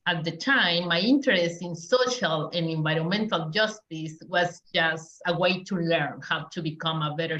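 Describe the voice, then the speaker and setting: Woman panelist, slow and hesitant, distant roomy call audio. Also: on the low side, fairly bright tone, dull audio. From Mexico. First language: Spanish. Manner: measured, hesitant with many fillers. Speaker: community engagement specialist, panelist. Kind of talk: panel talk on a video call.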